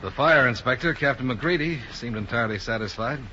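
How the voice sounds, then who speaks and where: Cool american insurance investigator, old 50s radio show, sharp punchy voice, terse, dull noisy recording. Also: male voice, medium pitch, animated, fairly quick. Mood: probing, cool. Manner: terse, matter-of-fact questioning. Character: businesslike male freelance insurance investigator, cool and probing. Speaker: radio drama lead and narrator. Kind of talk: 1950s hard-boiled radio acting and narration.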